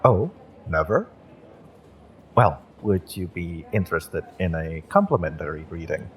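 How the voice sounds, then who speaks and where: Over noticeable background ambience comes a gentle male witch , acted by a man for a roleplay audio. His voice is very low and he takes his time over every phrase. He is a courteous, slightly hesitant magick shop owner who speaks softly to the listener as his customer. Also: big pitch swings, a little slow, a little hiss or hum. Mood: inviting, courteous.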